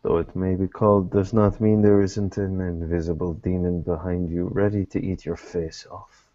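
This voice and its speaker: A man playing a cryptic, courteous private investigator in a tabletop game, speaking in a measured, formal way. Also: low-pitched, level tone, mellow, lo-fi sound, lightly acted. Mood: guarded, courteous. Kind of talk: tabletop roleplay in character.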